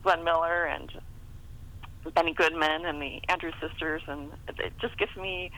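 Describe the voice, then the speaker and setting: A woman's voice: low voice, steady tone, moderate pace, fairly bright tone, poor audio. A middle-aged American woman chatting casually as an author guest, talkative and self-deprecating with little laughs in her speech. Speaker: guest author being interviewed. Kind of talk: casual interview chat.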